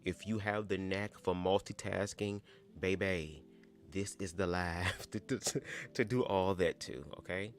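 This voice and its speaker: A young man with a very low, calm voice hosts a casual livestream from home, talking to viewers in a chatty, sassy way. Soft music plays faintly behind him. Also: a little slow. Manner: chatty, sassy asides with "girl" and "baby". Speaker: livestream host. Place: home, squeaky old chair.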